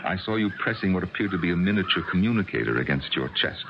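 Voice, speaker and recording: Stern American scientist dad, very low voice, animated intonation, measured, suspicious, middle-aged, old dull radio drama recording. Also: male voice, creaky, noisy background. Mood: suspicious, controlled. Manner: measured, formal, deliberate. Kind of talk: 1980 radio drama acting.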